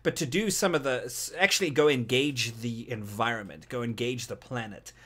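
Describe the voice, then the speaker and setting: A man's voice: medium pitch, moderate pace, sharp tone. A man co-hosting a casual gaming panel discussion, often repeating and restarting his phrases as he talks. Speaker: podcast co-host.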